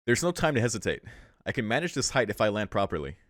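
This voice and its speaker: A man's voice: fairly low pitch, big pitch swings, quick pace, a bit bright. A man reading a visual novel aloud as a streamer, with amused, slangy and sweary casual asides. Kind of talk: casual read-aloud with commentary. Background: faint game music.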